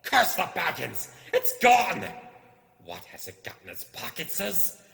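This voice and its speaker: A wretched, paranoid storybook creature, hisses in a whispery rasp. It mutters to itself in repeated phrases, swinging wildly in pitch inside a long cavernous reverb. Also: male voice, wildly swooping pitch, slow pace, fairly bright tone, heavily acted. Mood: furious. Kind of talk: storybook character reading. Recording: echoing hall-like reverb.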